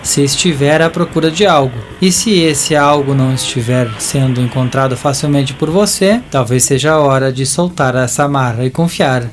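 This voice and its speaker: A man's voice: mid-range voice, moderate pace, hardly any pauses, soft background music. A Brazilian man narrating a scripted audio drama in a theatrical way, stretching out some phrases.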